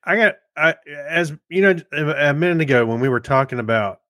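A man co-hosting a podcast, chatting and reading segments in a halting, rambling, joking way. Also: a little high, animated, bright, forceful tone, no echo, studio quality. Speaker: podcast co-host. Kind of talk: casual chat and segment reading.

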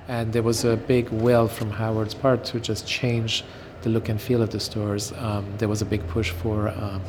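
Soft-spoken man, flat, um-filled delivery. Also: lowish voice, normal speed, noisy background. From Iran. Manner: relaxed, hesitant with many ums. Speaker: interview guest, designer. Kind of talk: casual interview recollection.

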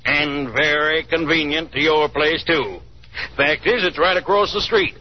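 Old radio drama part: stern elderly tycoon, sarcastic bluster, rough voice, dull vintage broadcast recording. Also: male voice, on the high side, a little slow. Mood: scornful, gloating. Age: older. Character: indignant elderly businessman, stern, scornful old boss. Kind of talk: 1930s radio drama acting.

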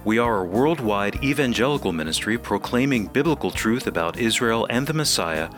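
Low-voiced male radio announcer over music. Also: expressive, a little fast, a bit bright. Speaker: radio announcer and executive producer.

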